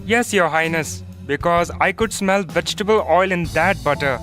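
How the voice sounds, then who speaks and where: A dutiful royal servant in a children's animated fable, acted by a man. His voice is on the high side, with music running behind it. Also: normal speed, close to an everyday voice, poor audio. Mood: respectful, defensive. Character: dutiful palace servant, soldier and cook to a king. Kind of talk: animated children's story acting.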